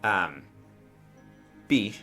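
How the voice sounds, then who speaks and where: A man with a fairly low, bright, forward voice narrates a tabletop game as its game master. He talks conversationally and haltingly, feeling out each phrase and checking in as he goes, with music playing underneath. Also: animated. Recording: music behind the voice.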